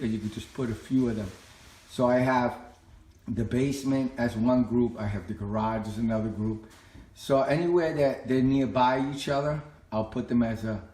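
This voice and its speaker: A man's voice: medium pitch, dark tone. A middle-aged man giving a casual, plainspoken walkthrough in a conversational, repetitive way. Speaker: home tech vlogger. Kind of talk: casual explanatory home walkthrough. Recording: echoey indoor room.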